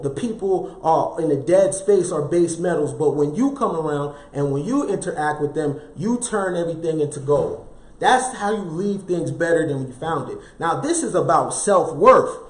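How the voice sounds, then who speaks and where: A man's voice: high voice, a little mellow. A man delivering a motivational self-help talk with a slightly raised voice. He preaches through rhetorical questions and repeated key phrases, with casual slang asides. The sound is roomy and echoey. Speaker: motivational speaker.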